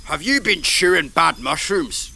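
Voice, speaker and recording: An actor playing a hot-headed, mouthy warrior in a fantasy audio drama, blunt and taunting. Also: male voice, on the high side, animated, unhurried, vocal fry, sharp tone, a little hiss or hum, full character voice. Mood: exasperated.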